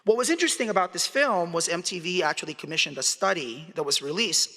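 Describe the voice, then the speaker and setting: A man delivers a public lecture in a large hall, and his voice echoes with a long tail. His voice is a little higher than usual and sounds bright and forceful. He talks conversationally, putting questions to the audience and chuckling between points. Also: lively intonation, fast talker. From Philippines. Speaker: guest speaker.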